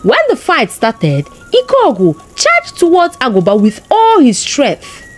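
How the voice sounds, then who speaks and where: A Nigerian-accented woman narrates a folktale as a storyteller. Her voice sits fairly high and swings very widely in pitch, with expressive delivery throughout. Music plays faintly behind her. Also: measured pace.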